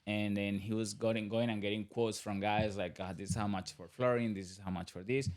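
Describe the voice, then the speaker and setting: A man's voice: lowish voice, fairly even intonation, a little fast, darkish tone. A man in his thirties from Colombia with a Colombian accent, a podcast guest talking casually and rambling with restarts. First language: Spanish. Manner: rambling, restarts, laughs often. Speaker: podcast guest, business owner. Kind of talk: casual interview talk.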